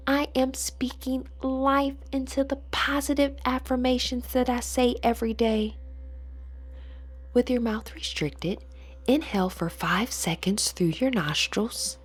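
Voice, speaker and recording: Slow, breathy woman guiding meditation. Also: a little high. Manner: soothing, measured, deliberate phrasing. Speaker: guided meditation instructor. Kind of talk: slow guided meditation narration with spoken affirmations. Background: background music.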